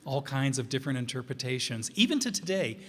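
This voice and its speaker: A man's voice: medium pitch, sing-song, fast, fairly bright tone. A man presenting informally after a play, with an emphatic, questioning delivery. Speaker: radio players' presenter. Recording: reverberant hall.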